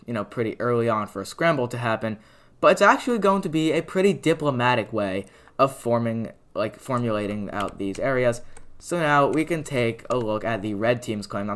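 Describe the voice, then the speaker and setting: A man talks through his map animation in a loose, rambling way, stumbling over words and leaning on fillers. His voice sounds raspy and worn from long talking, sitting at an ordinary middle pitch. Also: a bit bright. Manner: rambling, filler-heavy, lots of "like" and "uh". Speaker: mapping video creator.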